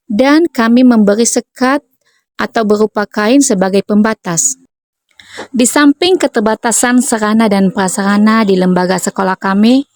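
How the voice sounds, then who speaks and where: A woman from North Sumatra who teaches preschool, reading a prepared account in a measured, earnest way. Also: medium pitch, a little mellow, dry recording, clean studio sound. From North Sumatra, Indonesia. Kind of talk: scripted narration, reading a prepared text.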